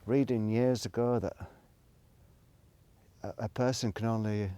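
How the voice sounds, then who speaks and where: A man's voice: mid-range voice, steady tone, measured pace, warm tone, smooth, no room sound. A middle-aged man, rambling reflectively in a casual solo monologue, hesitant and thinking aloud as he goes. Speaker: solo video blogger.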